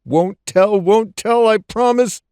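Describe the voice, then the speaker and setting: Man acting a terrified young man, frantic and high-pitched. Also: takes it slowly, a little mellow, smooth, dry recording, clean studio sound. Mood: panicked, pleading. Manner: frantic, pleading, urgent repeated exclamations. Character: terrified young man fleeing for his life, guilt-ridden. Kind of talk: audiobook thriller character acting.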